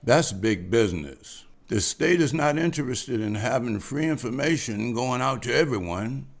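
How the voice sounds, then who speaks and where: A man reading the part of a cautious, businesslike male bank official at a script table read, in a measured, guarded manner. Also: mid-range voice, lively intonation, natural voice.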